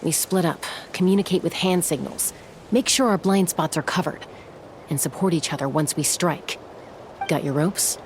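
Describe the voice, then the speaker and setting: An actress playing a calm, focused young woman scout in a video game, speaking in a level, tactical way. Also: fairly low pitch, normal speed, slight background noise, lightly acted.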